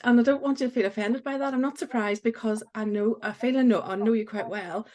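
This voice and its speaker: A woman's voice: on the high side, darkish tone. A woman hosting a podcast interview, talking in an enthusiastic, affirming way. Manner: enthusiastic, affirming, laughs often. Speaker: podcast host. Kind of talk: conversational interview.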